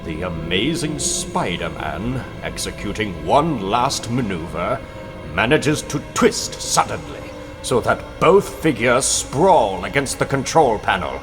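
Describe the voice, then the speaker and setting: Low dramatic man as comic book narrator, music. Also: very animated, takes it slowly. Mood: grave suspense.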